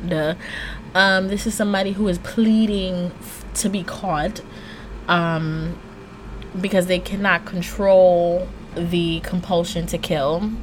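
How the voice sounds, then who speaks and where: A woman with a fairly low voice hosts a true crime show in a chatty, slangy way. She moves between reading out the case and giving animated, informal asides. There is some noise in the recording. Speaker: true crime podcast host. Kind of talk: casual true crime storytelling, mixing scripted narration with chatty asides.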